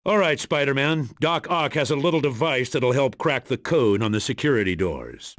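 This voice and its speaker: A man's voice: medium pitch, expressive, normal speed, a bit bright, dry recording, studio quality, close to an everyday voice. A man playing a stern, businesslike spy commander giving video game mission briefings in an authoritative, matter-of-fact way.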